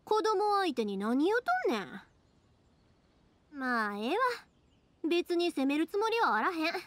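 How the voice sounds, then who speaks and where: A woman voicing a cheerful, big-sisterly young fireworks maker in an anime game, with a Kansai accent and a lively, reassuring, colloquial way of talking. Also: sits high, a little slow, dry recording. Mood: explaining. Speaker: video game character voice actor. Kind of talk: anime-style game dialogue.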